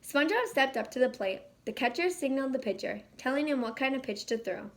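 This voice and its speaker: A woman reading a children's picture book aloud in an expressive, animated way. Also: high voice, brisk. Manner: animated, acts out dialogue. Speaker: children's read-aloud storyteller.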